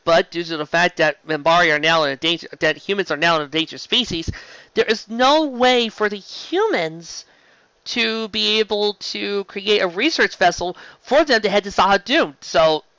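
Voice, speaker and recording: A fan-show host with a bright, pressing voice at a middling pitch rambles through a casual review. The delivery is gushing and emphatic, with frequent stumbles and restarts. The sound is dry and slightly lo-fi. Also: dry recording. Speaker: host of a fan fiction live-read show.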